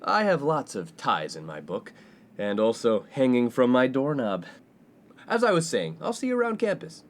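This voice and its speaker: A man's voice: mid-range voice, wildly swooping pitch, normal speed. A man playing a brash, self-absorbed college playboy in a comedic radio play, breezy and self-important. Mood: smooth, self-satisfied. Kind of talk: comedic 1950s-set radio play acting. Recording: faint background noise.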